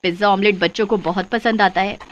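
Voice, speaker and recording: A woman's voice: medium pitch, fairly even intonation, fast talker, muffled recording. A woman delivering scripted moral-story narration as the narrator.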